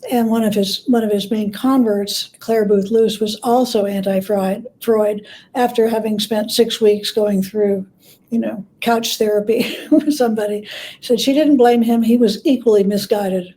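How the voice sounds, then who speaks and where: A woman's voice: mid-range voice, fairly even intonation, a little slow, mellow, slight background noise. A woman speaking as an expert interview guest, explaining informally through anecdotes and paraphrased quotes.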